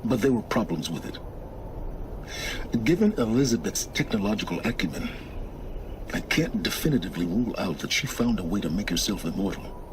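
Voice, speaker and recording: An actor playing a secretive middle-aged scholar in a video game cutscene, speaking in a measured, condescending and impatient way. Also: male voice, on the low side, lively intonation, noisy background, lightly acted. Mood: explanatory, dry. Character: secretive, condescending older male lone scholar and wanderer.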